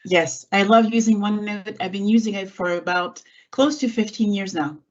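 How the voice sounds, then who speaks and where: A woman's voice: medium pitch, moderate pace. A middle-aged woman who was born in France and grew up in California, answering conversationally as a guest in an online interview. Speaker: guest, executive assistant and software trainer. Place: indoors, online video call. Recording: dull, muffled call audio.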